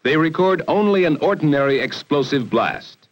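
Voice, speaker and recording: An American man narrating a 1960 educational film in a formal, measured, authoritative way, on a vintage film soundtrack. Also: on the high side, lively intonation, highs cut off. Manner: formal, measured, authoritative delivery with emphatic phrasing.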